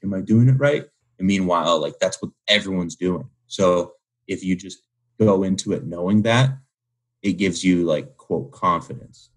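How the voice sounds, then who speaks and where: A man in his twenties with a deep, husky voice. He speaks as a guest in a casual interview, candid and informal, leaning on slang and filler words. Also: expressive, moderate pace, rough recording. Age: 20s. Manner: informal slangy speech peppered with "like". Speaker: podcast guest, young entrepreneur.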